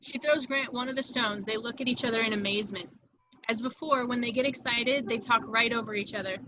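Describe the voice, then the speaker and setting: A woman's voice: a little high, fairly even intonation, a little fast, sharp tone, lo-fi sound, a little hiss or hum. A woman reading screenplay stage directions as the narrator of a table read.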